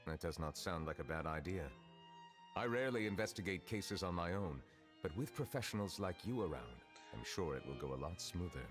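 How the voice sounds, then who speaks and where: An actor playing a grave male judge who is an ancient dragon in human form, speaking in a formal, measured way. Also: sits low, animated. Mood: solemn. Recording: game audio over loud music.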